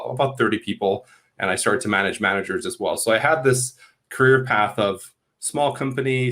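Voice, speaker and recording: A man's voice: on the low side, a bit bright. A man in his thirties, originally from Hungary, talking through his career as a guest on a video call, rambling and restarting his sentences. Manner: rambling, repeats words at starts. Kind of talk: casual interview talk. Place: small room at home. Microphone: earphones on video call.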